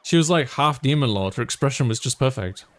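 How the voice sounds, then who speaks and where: A man voicing an excitable, gossipy high school student in a visual novel, chatty and rambling. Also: brisk, natural voice. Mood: excited, admiring.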